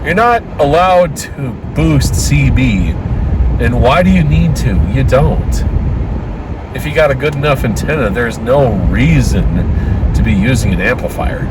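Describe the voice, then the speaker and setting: A man talks in a loose, opinionated vlog ramble at an average pitch, full of fillers and restarts, his throat a little dry. He is recording in a car, with heavy road and air-conditioning noise behind him. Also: lively intonation, a little slow. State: dry throat, occasional coughs. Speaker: ham radio vlogger. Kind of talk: casual rambling vlog talk.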